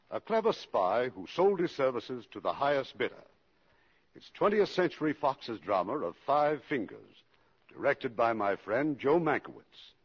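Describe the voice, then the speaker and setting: A man's voice: medium pitch, breathy edge. A man hosting a 1950s radio theatre show with a formal, scripted presentation. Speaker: producer and host introducing the show. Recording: muffled old 1950s radio recording.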